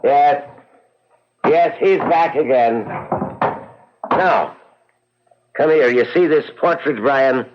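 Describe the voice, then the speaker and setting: Actor as a slow, halting Irish uncle. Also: male voice, mid-range voice, noisy background, lightly acted. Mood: grave, deliberate. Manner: halting, hesitant. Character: hesitant older Irish uncle, gravely revealing a family secret. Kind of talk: old-time radio drama acting. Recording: muffled old radio recording.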